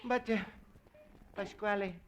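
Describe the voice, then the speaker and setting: An actor playing a gentle, meek Italian immigrant who speaks soft broken English, in a 1940s radio sitcom. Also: male voice, high voice, steady tone, measured pace. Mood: earnest.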